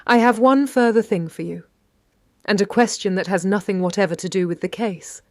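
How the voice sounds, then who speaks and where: An actress with a British accent playing a shrewd 1930s London lady detective, narrating in a measured, wry and precise way. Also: mid-range voice, dry recording, clean studio sound, close to an everyday voice. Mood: wry, probing. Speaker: narrator and lead character. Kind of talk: first-person detective audio drama narration.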